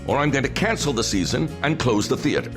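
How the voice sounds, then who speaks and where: A man's voice: mid-range voice, lively intonation, fast talker, music in the background, lightly acted. An actor playing a cold, stern wealthy man who owns a theater, speaking with threat in his voice.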